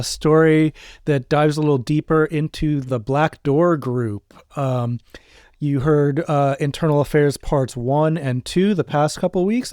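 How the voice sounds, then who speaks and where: A man co-hosting a podcast in casual, halting chat, introducing an audio drama episode. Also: fairly high pitch, a little mellow, no echo, clean studio sound. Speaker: podcast co-host.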